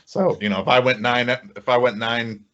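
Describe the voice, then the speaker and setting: A man's voice: mid-range voice, steady tone, moderate pace, a bit bright. A man co-hosting a podcast in casual conversation. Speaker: podcast co-host. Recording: slightly dull, lo-fi audio.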